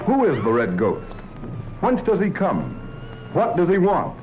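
A man's voice: sits high, huge pitch swings, measured pace, exaggerated voice. A muffled, noisy thirties radio recording carries a man acting as a portentous mystery announcer. His suspenseful delivery swings widely in melodramatic questions and declarations.

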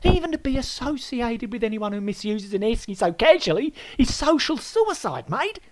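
A man's voice: sits high, sing-song, moderate pace, a bit bright, dry recording, pitched above the performer's own voice, exaggerated voice. A man voicing a blustering host who swears and mocks his guest. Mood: indignant. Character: loud brash Australian male host, bullying.

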